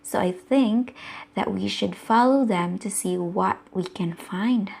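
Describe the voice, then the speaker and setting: A woman voicing a shocked boar who lost her berries, read slowly and softly. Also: mid-range voice, creaky, slightly rough, exaggerated voice. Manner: slow, soft read-aloud delivery.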